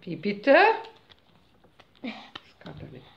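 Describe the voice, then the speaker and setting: A woman's voice: medium pitch, very animated, takes it slowly, gravelly, darkish tone, muffled recording. A mother playing cards with her child at home, off camera, chatting in a playful, teasing, mock-indignant way. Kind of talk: casual family chat during a card game. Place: home room at a table, off camera. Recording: echoey room, faint noise.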